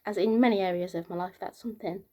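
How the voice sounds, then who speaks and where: A woman from the UK with a soft, girlish voice, talking casually to camera in a rambling monologue full of fillers, her pitch climbing as she gets into the flow. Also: fast. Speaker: vlogger.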